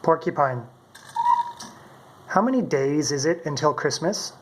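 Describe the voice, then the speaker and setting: A man's voice: on the high side, animated, a little slow, slight background noise, poor audio. A middle-aged man casually narrating a demonstration as a hobbyist maker. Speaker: maker presenting his homemade robot.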